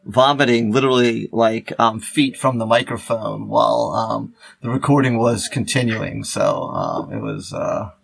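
A man with a gravelly, creaky voice co-hosts a casual podcast in a dry-sounding space. He banters in a halting way, doubling back and repeating words as he goes. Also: fairly low pitch, sing-song, no echo. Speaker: podcast co-host.

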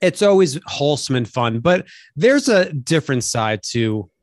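A man co-hosting a podcast in casual chat, quick, teasing and upbeat. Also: a little high, big pitch swings, dry recording, clean studio sound. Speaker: podcast co-host.

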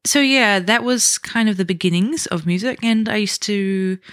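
A woman's voice: mid-range voice, fairly bright tone, dry recording, clean studio sound. Chatty New Zealand woman hosting a podcast. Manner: chatty, self-deprecating, unhurried storytelling. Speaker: podcast host. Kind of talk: casual solo podcast talk.

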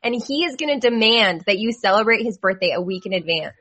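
A woman's voice: medium pitch, expressive, quick pace, a bit bright. A woman in her twenties chatting casually as a co-host, emphatic and insistent in how she talks. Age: 20s. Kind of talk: casual chat.

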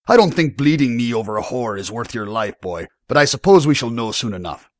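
Man acting a belligerent male tavern owner, fantasy audiobook villain, blustering, animated, pitched up, dry sound. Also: brisk, no room sound, close to an everyday voice. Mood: threatening. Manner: snorting, belligerent, self-important.

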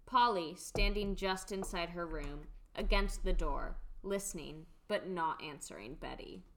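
Woman, low pitch, scripted radio play narration, stage directions. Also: a little slow, fairly bright tone, slight background noise.

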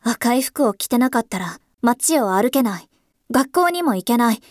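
A woman plays a sullen schoolgirl daughter in a voice drama. Her voice is high and lively in pitch, curt and petulant, and recorded dry. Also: moderate pace, no room sound, lightly acted. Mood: protesting. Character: defiant, sullen school-age daughter questioning rules. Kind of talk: scripted voice drama acting.

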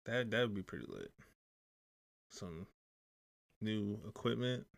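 A man co-hosts a casual podcast in a playful, teasing way with plenty of slang. His voice is low and gravelly, and his pitch swings widely as he talks. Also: sing-song, takes it slowly. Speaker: podcast co-host. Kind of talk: casual chat.

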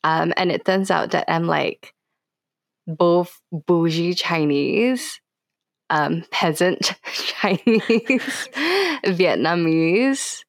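A young woman talks as a guest on a podcast. Her voice is slightly low with plenty of creak, recorded dry. She is chatty and playful, peppering her talk with casual slang. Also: very slow, dry recording. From Singapore. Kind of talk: casual interview chat.

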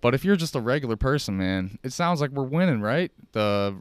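A man's voice: mid-range voice, animated, brisk, smooth, dry recording. An American man hosting a casual solo podcast, chatting in a rambling, informal, slangy way. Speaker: podcast host. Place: at a kitchen table at home.

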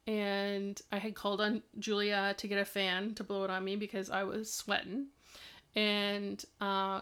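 Chatty mom co-host giving tips. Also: female voice, medium pitch, clear, resonant tone. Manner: rambling, warm advice with fillers. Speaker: podcast co-host, parent. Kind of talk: casual chat, giving tips.

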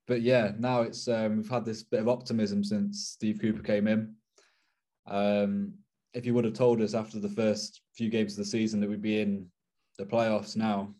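A man's voice: lowish voice, warm tone. A young adult man co-hosting a casual football podcast, chatty and laid-back with fillers. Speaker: podcast co-host. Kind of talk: casual chat.

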